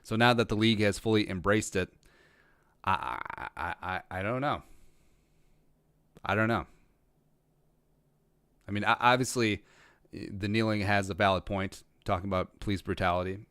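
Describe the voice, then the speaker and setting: A man's voice: on the low side, normal speed, long pauses, a bit bright, clear, resonant tone, no echo. A man from rural Minnesota hosting a casual livestream, reading viewer questions and musing through them with frequent restarts and dry humor. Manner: rambling, restarts often, dry self-aware humor. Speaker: podcast host answering live chat.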